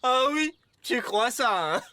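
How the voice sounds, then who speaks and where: A woman's voice: a little high, expressive, slow pace, sharp tone, dry recording, exaggerated voice. A woman voicing a mocking, competitive farm vehicle in a preschool cartoon. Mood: challenging. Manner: mocking, teasing. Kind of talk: dubbed preschool cartoon acting.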